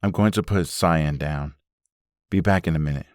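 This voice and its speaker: Caring, serious husband male actor, deep register, measured everyday delivery, dry sound, for a comic drama dub. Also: a little mellow, no room sound. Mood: tender, weary. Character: earnest, caring husband working through an investigation.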